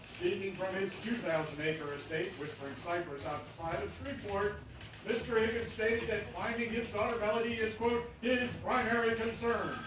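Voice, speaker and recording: A man's voice: sits high, steady tone, moderate pace, noisy background, close to an everyday voice. A man playing a formal, dramatic 1940s radio newscaster and narrator. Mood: formal, newsy. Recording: echoey hall, distant mic, loud music.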